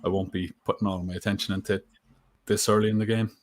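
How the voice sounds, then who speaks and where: A man's voice: on the low side, level tone, quick pace. A man co-hosting a live betting stream, thinking aloud in a casual way, with lots of fillers and restarts. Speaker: co-host and betting tipster.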